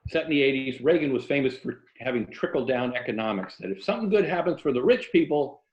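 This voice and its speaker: A man's voice: medium pitch, expressive, quick pace. An American man, a writer speaking as an interview guest in a wry, self-deprecating way, on an indoor video call. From Tampa, Florida, USA. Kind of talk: casual conversation, then reading own novel excerpt aloud. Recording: roomy, muffled video-call audio.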